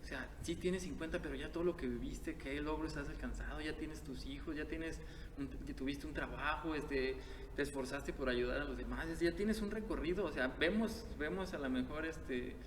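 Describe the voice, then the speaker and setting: Earnest guest in an echoey hall. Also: male voice, on the high side, normal speed. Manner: earnest, hesitant with fillers. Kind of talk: reflective conversational podcast. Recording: echoey hall with clear background noise.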